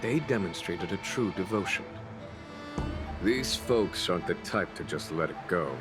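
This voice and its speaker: A calm, scheming general in a fantasy video game. The voice sits very low and stays smooth and knowing, never rushed. Loud orchestral game music plays behind him. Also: male voice. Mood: composed. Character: calm, cunning adult male strategist general. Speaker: game character. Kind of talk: video game cutscene dialogue.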